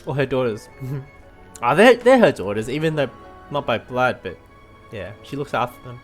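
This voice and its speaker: Woman gamer chatting over a playthrough, low voice, giggly little asides, faint game music. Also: very animated, moderate pace. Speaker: video game player commentating. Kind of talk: casual playthrough commentary.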